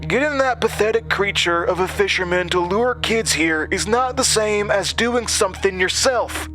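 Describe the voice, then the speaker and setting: A man's voice: sits high, normal speed, pushed and bright, faint music behind, voice pushed up. A man voicing a cruel camp director in a horror audio drama, contemptuous, taunting and commanding. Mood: menacing, commanding. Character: cruel, sneering male camp director villain.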